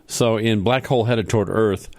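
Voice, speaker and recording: A man's voice: fairly low pitch, steady tone, normal speed, darkish tone, no echo. A man hosting a playful comedy radio show from a studio, interviewing a guest in a mock-serious way with leading questions.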